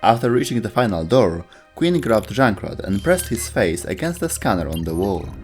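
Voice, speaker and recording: A man's voice: on the low side, big pitch swings, normal speed, music in the background. A man narrating a scripted video game lore explainer as its host.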